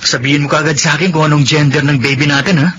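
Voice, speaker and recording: A man's voice: fairly high pitch, fairly even intonation, quick pace, fairly bright tone, close to an everyday voice. An actor playing a friendly, sentimental and eager Filipino man in a radio drama. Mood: playfully eager. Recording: muffled radio broadcast with clear noise.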